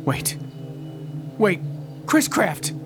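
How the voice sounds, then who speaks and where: An actor playing a nervy, determined conspiracy investigator on the run in an audio drama, speaking in a halting, earnest way. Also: male voice, sits high, big pitch swings, over music, full character voice. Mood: urgent.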